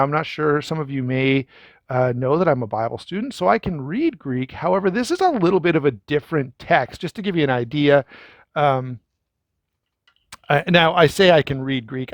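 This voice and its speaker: A man's voice: fairly high pitch, no room sound. A man giving a casual first-impression review of a knife, talking straight to viewers with lots of fillers and restarts. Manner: conversational, many fillers and restarts; addresses viewers directly.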